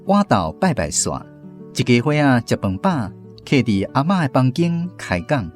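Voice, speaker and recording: A man's voice: mid-range voice, very animated, moderate pace, faint music behind. A man with a Taiwanese accent narrating a family radio drama in storybook style.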